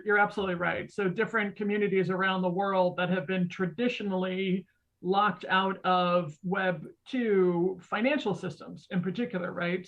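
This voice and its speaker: A woman co-hosting a podcast, explaining conversationally in an earnest, emphatic way, on slightly muffled audio. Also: mid-range voice. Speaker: podcast co-host. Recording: dull, band-limited audio.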